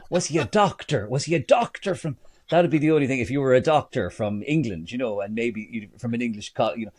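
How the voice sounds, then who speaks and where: A man in his forties with an Irish accent, talking in an animated way on a podcast interview, often restarting and repeating words. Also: mid-range voice, fast talker. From Ireland. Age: 40s. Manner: animated, many restarts and repetitions. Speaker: guest storyteller.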